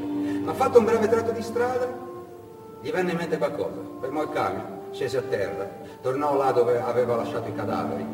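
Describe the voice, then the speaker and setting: A man with a mid-pitched voice tells a story theatrically, as if on stage. His delivery is deliberate and intense. He is heard from a distance in a reverberant hall, with music behind him. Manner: deliberate, intense, short measured phrases. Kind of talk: dramatic theatrical narration of a story.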